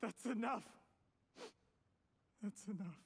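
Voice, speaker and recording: A man's voice: high-pitched, slow, creaky, long reverb tail, slight background noise, exaggerated voice. A man voicing an ambitious, scheming young official in a video game, formal, earnest and self-justifying. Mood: distressed.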